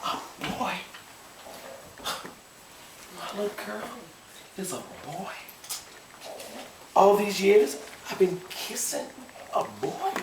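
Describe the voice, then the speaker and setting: A man's voice: a little high, big pitch swings, normal speed, pitched above the performer's own voice. A man voicing an anguished, enraged rural Southern father and domineering husband, pleading and exploding in folksy dialect. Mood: stunned grief. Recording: roomy, distant mic, faint noise.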